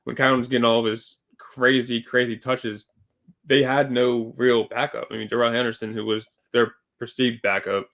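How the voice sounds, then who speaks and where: A man co-hosting a casual sports podcast, talking loosely with fillers and self-corrections, a little hoarse from losing his voice. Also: medium pitch, little pitch movement, moderate pace, a bit bright. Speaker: podcast co-host.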